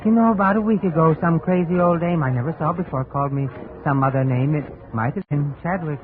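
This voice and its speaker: A man's voice: a little high, a little fast, lightly acted. An actor playing an evasive, wry man on the run in a casual, hesitant manner, in 1950s radio drama style. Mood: wary, puzzled. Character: evasive male fugitive, wry and resigned. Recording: faintly noisy, tinny old radio recording.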